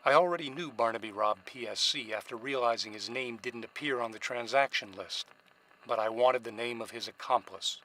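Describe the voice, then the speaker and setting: A man's voice: medium pitch, brisk, bright, forceful tone, lightly acted. A man playing a shrewd, cynical private investigator in a radio drama, both narrating and questioning in a noir style. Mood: wry, narrating. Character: shrewd hard-boiled male private investigator, cynical and probing.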